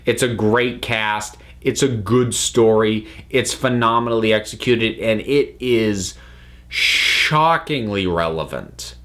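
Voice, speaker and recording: A man with a fairly low voice gives a casual spoken review as the host of a channel. He phrases things thoughtfully and haltingly, often repeating a few words as he works toward his point. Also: fairly bright tone.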